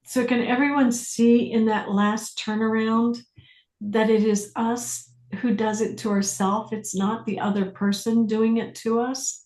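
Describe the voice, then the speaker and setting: A woman's voice: fairly high pitch, little pitch movement, darkish tone. Woman leading a group class, roomy echo. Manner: deliberate, pausing, repeating phrases for emphasis. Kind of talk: guided self-inquiry teaching with questions.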